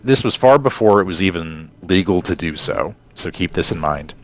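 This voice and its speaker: Low-voiced man rambling on a podcast. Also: expressive, moderate pace. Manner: rambling, explanatory, digressive storytelling. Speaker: podcast co-host. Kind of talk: casual conversational podcast chat.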